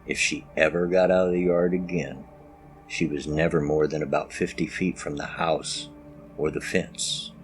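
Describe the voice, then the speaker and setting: A man with an extremely deep voice reads scary stories in the first person. He works as a storyteller, with music playing clearly behind him. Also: steady tone, moderate pace. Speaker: story narrator.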